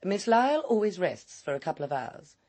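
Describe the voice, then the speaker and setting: Guarded, husky lady's maid. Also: lowish voice, very animated, a little fast, darkish tone. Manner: reticent, terse, formal. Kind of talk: British radio drama acting.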